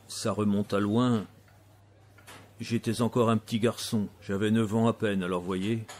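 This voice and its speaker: An actor playing a man who recounts a childhood memory in an audio drama, moving between hushed and excited storytelling. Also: fairly low pitch, a little hiss or hum, close to an everyday voice. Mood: wistful. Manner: expressive, swinging from hushed to excited. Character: man recounting his childhood circus mishap, wistful, vivid storyteller. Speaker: narrator of an audio fiction. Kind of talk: dramatised first-person storytelling. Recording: music bed under the voice.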